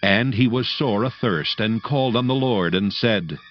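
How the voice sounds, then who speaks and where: A man reading scripted Bible narration as a solemn storyteller, in measured phrases. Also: on the low side, dull audio, soft background music.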